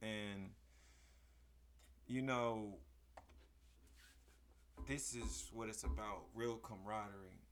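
Hoarse male podcast host, unhurried, excitable hype talk. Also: lowish voice. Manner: hype, emphatic, bursts into loud exclamations. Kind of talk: casual podcast chat.

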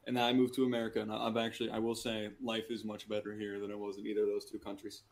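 Fast-talking earnest young-sounding man. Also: on the low side, monotone. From Nicaragua. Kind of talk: casual podcast banter.

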